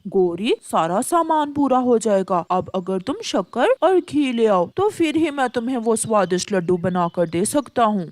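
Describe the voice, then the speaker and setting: A woman plays a hungry, scheming male pigeon in a cartoon fable. The voice is fairly high and swings expressively, friendly on the surface and sly underneath. It is recorded close and dry. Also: animated, normal speed, few pauses, a little mellow, no echo, natural voice. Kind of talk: Hindi moral-story cartoon acting.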